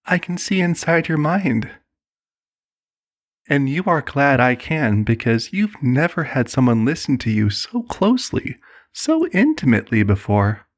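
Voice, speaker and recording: A man performing an ASMR roleplay as a serenely manipulative cult leader, soothing, condescending and persuasive. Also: medium pitch. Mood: soothing, self-assured.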